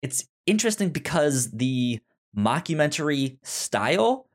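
Halting, casual male podcast host. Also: on the high side, very animated. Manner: halting, repeats and restarts words. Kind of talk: casual chat.

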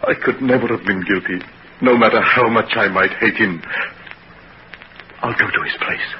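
An actor playing a guilt-stricken, horrified gentleman doctor in 1940s radio melodrama, pleading and halting on an old recording. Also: lowish voice, lo-fi sound, a little hiss or hum, close to an everyday voice. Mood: horrified despair.

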